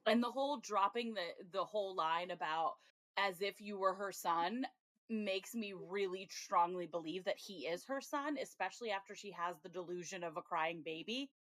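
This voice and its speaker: A woman's voice: medium pitch, moderate pace, a bit bright, dry recording. Woman cohost chatting about tv shows, laughs a lot, dry close sound. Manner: chatty, laughs often, acts out quoted reactions. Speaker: podcast co-host. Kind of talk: casual TV review chat.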